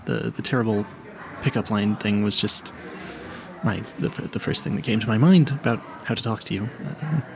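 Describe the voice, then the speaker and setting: A man's voice: fairly low pitch, normal speed, crowd noise, close to an everyday voice. A man playing an awkward, smitten young vampire hunter in a romantic audio roleplay, stammering nervously and apologizing politely. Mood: flustered, apologetic.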